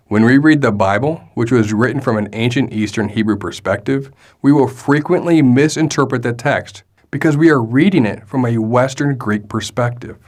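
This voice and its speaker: A man reading explanatory narration in a steady manner. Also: mid-range voice. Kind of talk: read narration.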